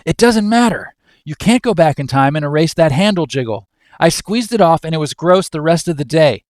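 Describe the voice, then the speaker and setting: A man's voice: on the high side, animated, a little fast, no room sound, studio quality, voice pushed up, close to an everyday voice. A man playing a fussy, squeamish office worker, indignant and melodramatic in manner. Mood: disgusted, aggrieved.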